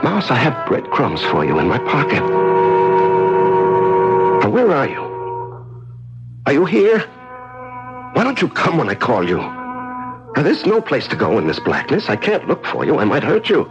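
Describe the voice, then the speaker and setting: An actor playing a desperate, unraveling prisoner alone in the dark, haltingly repeating himself in a radio drama monologue. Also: male voice, mid-range voice, huge pitch swings, muffled recording. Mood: pleading, coaxing. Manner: halting, repetitive, talking to himself. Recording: vintage radio drama with music behind.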